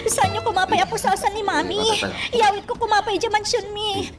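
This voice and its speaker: A woman's voice: very high voice, steady tone, a little fast. An actress playing a distraught daughter who pleads for her mother's innocence, tearful and frantic. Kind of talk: radio drama acting. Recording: distant voice drowned in music.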